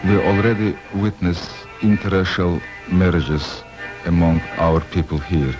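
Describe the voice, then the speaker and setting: A man speaking deliberately in an interview, pausing mid-sentence as he recounts his people's history. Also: low voice, steady tone. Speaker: community elder interviewee. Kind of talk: slow storytelling interview. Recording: dull old film sound with music.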